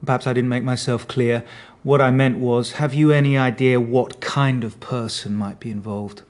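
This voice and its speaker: Mid-pitched man, acting a police detective, measured, understated, dryly sceptical, radio drama. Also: fairly even intonation, darkish tone, lightly acted. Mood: probing.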